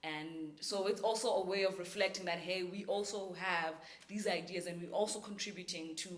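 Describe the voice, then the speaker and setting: A woman's voice: on the low side, fairly even intonation, normal speed, echoey room. A woman on a discussion panel, talking casually and laughing often while she explains. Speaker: cast member in a panel discussion.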